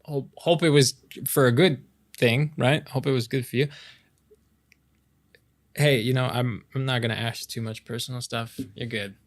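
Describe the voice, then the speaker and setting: Male actor as boyish best-friend love interest for romantic ASMR roleplay; French Canadian accent, medium pitch, casual, playful, halting delivery. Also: fairly even intonation, close to an everyday voice. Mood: awkward, apologetic. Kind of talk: intimate romantic ASMR roleplay, improvised-sounding casual chat.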